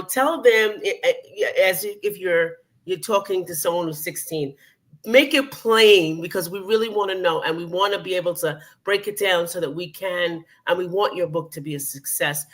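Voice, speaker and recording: A woman's voice: on the low side, normal speed. An older woman, hosting an interview show, who talks with enthusiasm, repeats herself and asks for plain explanations. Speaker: host. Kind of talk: casual interview.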